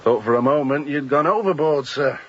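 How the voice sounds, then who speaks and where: A ship's second mate, played by a man, with a medium-pitched voice. He is formally dutiful yet dryly insolent, in the manner of a radio play. The sound is muffled with faint noise. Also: normal speed, a bit bright, close to an everyday voice. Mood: formal, dryly teasing. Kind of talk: radio drama acting.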